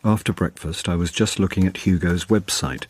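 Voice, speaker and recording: A male actor plays a middle-aged man telling his own story in a radio drama. The voice is deep, with a dry, deadpan humour and a wry, put-upon air. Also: normal speed, background noise, close to an everyday voice. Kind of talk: first-person radio drama narration.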